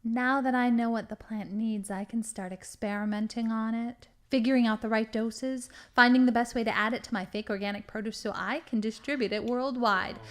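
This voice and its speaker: An actress plays a female criminal mastermind in an audio drama. Her voice sits fairly high, and she tells her schemes with smug menace and theatrical emphasis. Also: fairly even intonation, normal speed, few pauses, lightly acted. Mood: gleeful, menacing. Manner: theatrical, gloating storytelling with hushed dramatic emphasis.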